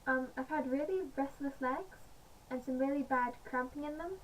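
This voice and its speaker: A woman with a slightly croaky voice, chatting casually in a home vlog with many pauses and fillers. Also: high-pitched. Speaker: vlogger. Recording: distant mic, faint background noise.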